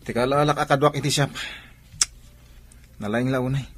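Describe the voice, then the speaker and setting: A man with an Ilocano Filipino accent acting a stubborn, grumbling shop owner and son in an audio drama, his voice slightly husky and testy. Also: medium pitch, steady tone, normal speed, lightly acted. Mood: sulky.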